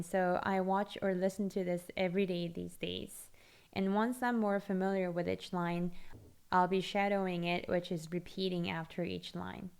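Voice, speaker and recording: Woman, Korean native speaker, lowish voice, smooth and clear, calm tutorial narration. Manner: calm, steady step-by-step explaining. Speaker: language-learning video host. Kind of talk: scripted tutorial voice-over.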